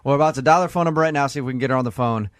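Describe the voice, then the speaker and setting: Male radio morning host. Rapid, casual, teasing banter, very dry studio sound. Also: medium pitch, smooth, no echo. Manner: teasing, jokey.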